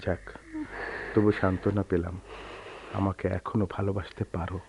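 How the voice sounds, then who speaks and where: A man acting a brooding, low-voiced lovelorn man. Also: little pitch movement, normal speed, a little mellow, natural voice. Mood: bitter, wounded. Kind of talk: Bengali radio drama acting. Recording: dull lo-fi radio recording with noise.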